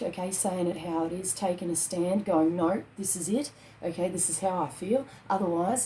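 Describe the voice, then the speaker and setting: A woman's voice: on the low side, mellow, slight background noise, rough recording. A woman in her thirties giving a casual tarot reading to camera at home, filling her talk with "okay" and "um". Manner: chatty, repeats "okay" and "um" often. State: hoarse, losing her voice. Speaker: tarot card reader. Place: small home room with bookshelves.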